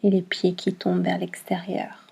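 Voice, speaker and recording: A woman teaching yoga nidra, guiding a meditation in a calm, soothing manner with long pauses between short instructions. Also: lowish voice, gravelly, breathy edge. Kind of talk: guided meditation, soft instruction.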